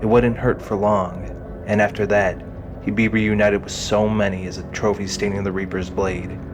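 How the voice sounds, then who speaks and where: A man narrates a dramatic story from a script. His voice sits fairly low, and music plays clearly underneath him. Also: flat delivery, moderate pace.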